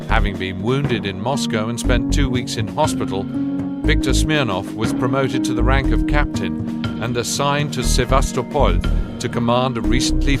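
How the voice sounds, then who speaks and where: A man delivering measured, serious scripted war-history narration for a video game. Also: medium pitch. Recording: loud music behind the voice.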